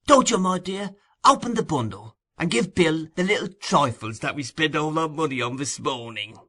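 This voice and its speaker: A man doing a high, wheedling old schemer. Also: expressive, moderate pace, sharp tone.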